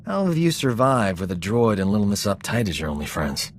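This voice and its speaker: A man's voice: lowish voice, sing-song, fairly quick, a little hiss or hum. An actor voicing a cocky, vain male doctor in a video game, breezy and teasing as he lays out his demands. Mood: flippant. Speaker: ship's medic.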